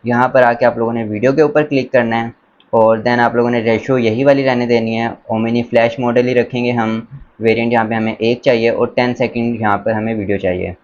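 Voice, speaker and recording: A man's voice: mid-range voice, fairly even intonation, darkish tone. Lo-fi male tutorial presenter. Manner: instructional, enthusiastic, mixes in English words. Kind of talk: casual step-by-step screen tutorial. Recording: dull lo-fi sound, faint background noise.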